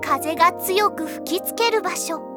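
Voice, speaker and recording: A woman's voice: extremely high pitch, measured pace. A woman voicing a pushy teenage schoolgirl posing as a mystic fortune-teller in a comic anime drama, theatrical and pompous. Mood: mystical, theatrical. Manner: theatrical, pompous, salesy, easily flustered. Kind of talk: Japanese anime comedy audio drama acting. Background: background music.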